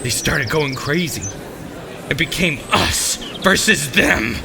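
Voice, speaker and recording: A man's voice: fairly high pitch, expressive, slow pace, creaky, a crowd in the background, noisy background, exaggerated voice. A man playing a panicky, callous shelter schemer with a whiny, excuse-making manner in a comedic audio drama.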